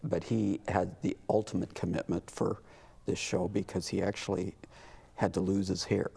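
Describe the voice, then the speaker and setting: An American man talking casually as an interview guest about community work, pausing often as he explains things. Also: deep voice, little pitch movement, vocal fry, warm tone, slightly rough. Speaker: community theater representative, talk show guest.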